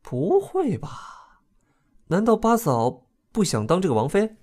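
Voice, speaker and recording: Man acting a young prince, animated pitch, playful, teasing, breezy, audio drama. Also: a little slow, exaggerated voice. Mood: curious.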